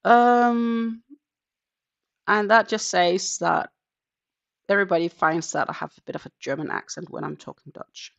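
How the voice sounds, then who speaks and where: A woman's voice: mid-range voice, fairly even intonation, measured pace, gravelly, long pauses. A woman in her thirties, a native Danish speaker, chatting casually on a live crochet stream and counting her stitches aloud from her home. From Denmark. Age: 30s. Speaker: crochet channel host. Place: home in the Netherlands. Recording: dull lo-fi live-stream audio.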